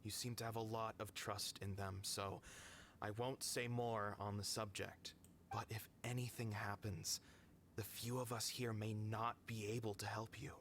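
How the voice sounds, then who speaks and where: A man playing a caring, worried middle-aged troupe manager in a video game, grave and measured. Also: lowish voice, little pitch movement, a bit bright, slight background noise.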